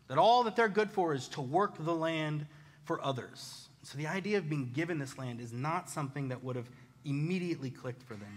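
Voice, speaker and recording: An American man preaching a conversational sermon, casual, animated and self-deprecating, telling personal stories as a pastor. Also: on the high side. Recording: reverberant hall.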